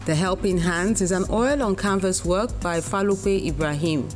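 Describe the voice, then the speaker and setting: A woman's voice: fairly low pitch, moderate pace. A woman presenting scripted arts narration for television. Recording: loud music bed under voice. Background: loud music.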